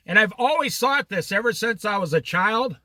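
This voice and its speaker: A man teaching a casual Bible study in a very bright, high voice that swings widely in pitch. He talks slowly and challenges his listeners with pointed questions, with a dry close sound. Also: no room sound. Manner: animated, challenging rhetorical questions; jokey asides. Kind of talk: informal Bible study talk.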